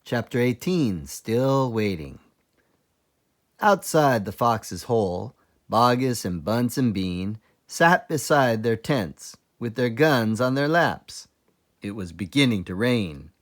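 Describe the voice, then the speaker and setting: A man reads a children's story aloud as a teacher librarian would. He takes his time, placing phrases deliberately, and his medium-pitched voice moves expressively up and down. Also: big pitch swings. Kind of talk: children's read-aloud narration.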